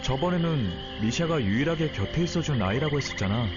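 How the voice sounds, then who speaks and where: A man voicing a gentle, thoughtful and protective young companion in a Korean game, earnest and caring. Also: medium pitch, expressive, brisk, lo-fi sound, loud music behind the voice, close to an everyday voice. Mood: calm, curious. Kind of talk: Korean game character voice acting.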